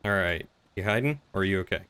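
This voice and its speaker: A man's voice: low voice, lively intonation, fast, pushed and bright, smooth. A man chatting casually and helpfully while playing an online roleplay game, with an easygoing manner. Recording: faint background noise.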